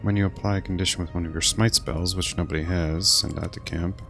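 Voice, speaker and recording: A man with a very deep, creaky voice gives casual commentary on a game he is playing. He talks in short, muttered asides, with game music playing clearly behind him. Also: steady tone, brisk. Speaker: streamer playing a role-playing game. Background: video game music.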